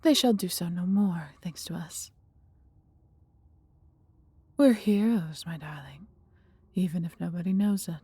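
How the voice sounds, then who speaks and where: A woman voicing an affectionate, fiercely protective female dragon in a fantasy audio roleplay, with soft husky breathiness and theatrical, intimate, formal storybook phrasing. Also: on the low side, unhurried, pauses often, dry recording. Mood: tender, proud.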